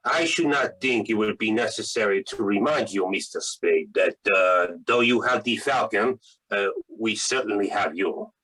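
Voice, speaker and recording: Man playing a sharp, prissy radio-play crook. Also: lowish voice, normal speed, slightly rough. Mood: polite menace. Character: prissy, menacing, excitable male criminal accomplice. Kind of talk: 1940s radio drama acting. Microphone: video call. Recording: noisy video-call audio.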